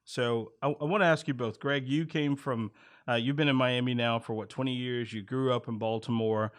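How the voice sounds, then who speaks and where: A man with a middle-pitched voice hosts a casual podcast interview. He is affirming, repeats words for emphasis and addresses his guests informally. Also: fairly even intonation, moderate pace.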